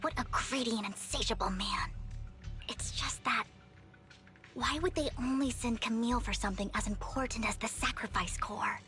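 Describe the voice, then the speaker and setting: Game role: actress as devoted young swordswoman, high voice, formal and protective, loud music underneath. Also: normal speed, lightly acted. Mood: earnest, concerned. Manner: formal, eloquent, earnest. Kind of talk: video game voice acting.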